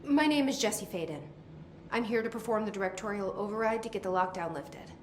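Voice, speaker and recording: A woman's voice: medium pitch, a little fast, close to an everyday voice. An actress playing a direct, businesslike woman director in a video game, with a no-nonsense manner. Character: brisk, businesslike woman, newly appointed agency director. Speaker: game voice actor. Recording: echoey room, faint background noise.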